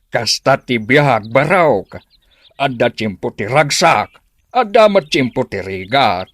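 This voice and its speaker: A man's voice: mid-range voice, expressive, takes it slowly, no echo. A man playing a kindly old village uncle, folksy, musing and philosophical, in an Ilocano radio drama. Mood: knowing, philosophical.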